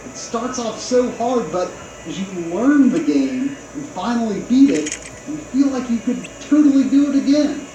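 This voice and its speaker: A man's voice: very high-pitched, big pitch swings, moderate pace, warm tone, highs cut off. A man giving a scripted video game review in casual, conversational phrasing. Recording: very echoey playback with clear noise.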